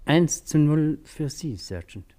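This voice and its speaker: An actor playing a talkative, worldly doctor with dry wit and a gossipy streak in a German radio crime drama. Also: male voice, fairly high pitch, expressive, slow pace, mellow. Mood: curious, teasing. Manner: talkative, wry, slightly gossipy.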